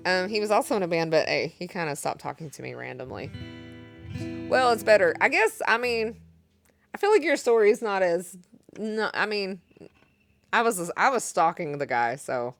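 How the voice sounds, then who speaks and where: A woman's voice: lowish voice, vocal fry. A woman from Kentucky with a Kentucky accent, chatting candidly with stream viewers between songs and laughing often as she tells stories. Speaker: livestreaming singer-guitarist. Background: acoustic guitar.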